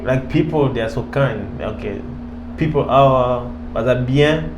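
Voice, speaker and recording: A man with a Congolese French accent answering casually as an interview guest, halting, repeating phrases and mixing languages. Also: medium pitch. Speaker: interview guest, professional footballer. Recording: echoey room, clear background hum.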